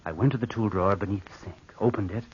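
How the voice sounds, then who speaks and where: An actor playing a coldly scheming, murderous nephew in an old-time radio drama, speaking with careful deliberation. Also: male voice, on the low side, natural voice. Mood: sinister, careful. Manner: slow, deliberate, hushed narration and hesitant dialogue. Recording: muffled vintage radio recording with faint noise.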